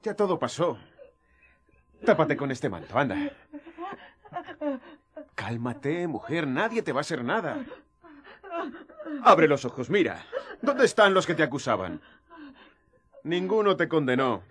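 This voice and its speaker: Casting: high-pitched, very expressive male prophet, fiery champion of the poor, pointed questioner, radio drama with murmuring crowd. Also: measured pace, long pauses. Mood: gentle, consoling. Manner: challenging, pointed rhetorical questioning. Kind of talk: Latin American radio drama acting.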